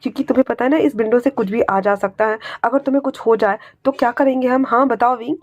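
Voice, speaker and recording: A woman voicing a protective older man who scolds and calms a frightened younger one, in a spoken story. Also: on the high side, fast talker, dry recording, natural voice. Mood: stern, soothing. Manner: scolding, calming, firm. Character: protective older man, stern but caring.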